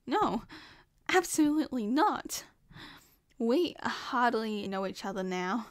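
Woman playing a jealous sweet-but-scheming aussie girl, asmr roleplay, slow talker, really dry close sound. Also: on the high side, big pitch swings, no room sound. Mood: sweet, probing. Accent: Australian.

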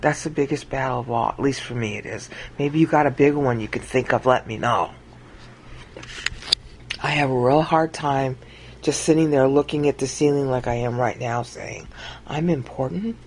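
A woman giving an informal Bible teaching monologue over a screen recording, acting out quoted lines with big jumps in pitch. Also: normal speed, darkish tone, slight background noise.

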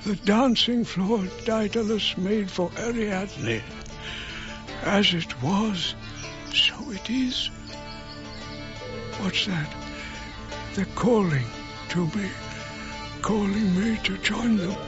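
An old archaeologist looking back, haunted and reflective. He speaks slowly and haltingly, weighing each phrase. The radio drama sound is slightly dull, with music swelling behind him. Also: high voice. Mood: wondering, wistful. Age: older. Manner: measured, halting, brooding delivery.

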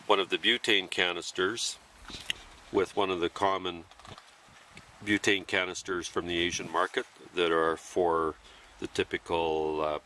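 A man giving a plain, methodical how-to voice-over about refilling camping fuel canisters, pausing between phrases. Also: low voice, sharp tone, slight background noise, low-quality recording. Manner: plain, methodical explaining with pauses. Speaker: gear demonstrator. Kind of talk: instructional voice-over.

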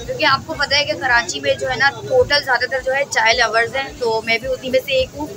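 A woman's voice: high voice, flat delivery, a little fast, a crowd in the background. A young woman, chatting to her viewers in a casual vlog, filmed at arm's length outdoors at night. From Pakistan. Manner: chatty, talks straight to the viewers. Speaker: vlogger. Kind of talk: casual vlog chat to camera. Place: busy street at night, outdoors. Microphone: phone camera at arm's length. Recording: outdoor street with traffic noise.

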